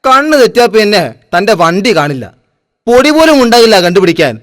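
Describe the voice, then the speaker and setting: A man's voice: high-pitched, big pitch swings, fairly quick, a bit bright, no room sound, studio quality, faint music behind. A man voicing a teasing, know-it-all friend who talks in warnings and jibes in a comic cartoon. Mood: mocking, warning. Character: mocking, know-it-all male village friend.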